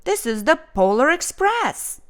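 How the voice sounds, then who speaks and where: Woman acting a hearty male train conductor, commanding, emphatic, raised lively pitch, storybook read-aloud. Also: measured pace. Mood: proud, emphatic.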